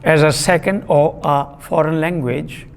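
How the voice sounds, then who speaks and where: Slow, gravelly male lecturer in a reverberant hall. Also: fairly high pitch, steady tone. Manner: deliberate, repeats key terms, frequent uh fillers. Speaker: literature lecturer. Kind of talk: classroom lecture.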